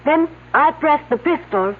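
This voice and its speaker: Give me a high-voiced, fragile Frenchwoman. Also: expressive, a little slow. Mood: shaken, explaining. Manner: stilted, careful non-native phrasing. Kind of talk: radio drama acting. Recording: muffled phone-like old radio sound.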